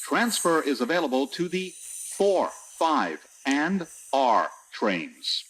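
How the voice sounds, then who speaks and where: A man's voice: a little high, very animated, slow, fairly bright tone, husky, poor audio. A man delivering scripted, recorded transit announcements as a train announcer.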